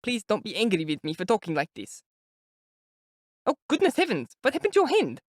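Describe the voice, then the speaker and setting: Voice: medium pitch, wildly swooping pitch, a little fast, dry recording, studio quality, pitched above the performer's own voice. A reader voicing an anxious, gushing older mother who talks in breathless exclamations. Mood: fretful. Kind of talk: audiobook character voice.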